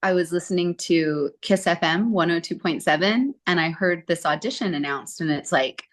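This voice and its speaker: A woman in her forties, a singer being interviewed, telling her story in a chatty, animated way. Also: lowish voice, normal speed. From Southern California. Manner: chatty, animated, acts out quotes.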